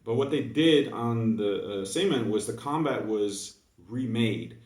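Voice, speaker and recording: A man's voice: medium pitch, a little slow, a little mellow. A young man with a Dutch accent, just woken up, talking through a casual, hesitant monologue for his gaming video full of fillers and restarts. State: just woke up. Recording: echoey room, mic at a distance.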